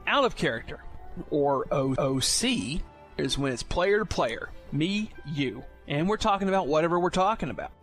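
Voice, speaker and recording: A man's voice: a little high, big pitch swings, normal speed, a bit bright. A man presenting an informal explainer for new players in a conversational, explanatory way. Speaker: podcast segment presenter. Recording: music playing behind the voice. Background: music.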